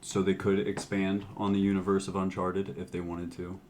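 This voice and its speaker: A man's voice: low voice, monotone, moderate pace, a little mellow, slight background noise. A man co-hosting a podcast, speaking in a casual, conversational way. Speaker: podcast co-host. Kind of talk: casual movie discussion chat.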